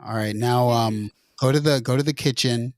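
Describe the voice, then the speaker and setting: A man's voice: medium pitch, normal speed, a little mellow, no room sound, studio quality. A man hosting a livestream, talking in a playful, teasing, casual way. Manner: playful, teasing, bro-ish banter. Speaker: livestream host.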